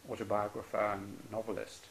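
Gravelly-voiced polite male interviewer. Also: on the low side, completely flat delivery, brisk, a little hiss or hum, poor audio. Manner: polite and hesitant, many ums and soft "mm"s. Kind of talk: oral history interview.

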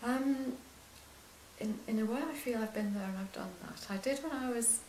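An older woman with a quiet voice, recalling her career as a lecturer in an interview, soft-spoken and hesitant with many restarts. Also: fairly high pitch, moderate pace, roomy sound, a little hiss or hum, rough recording. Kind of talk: oral history interview.

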